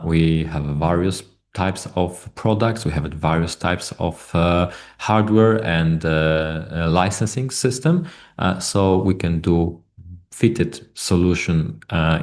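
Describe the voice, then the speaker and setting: A man who is not a native English speaker giving a technical product presentation in a webinar, speaking haltingly with many hesitations and repeated words. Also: low-pitched, a little mellow.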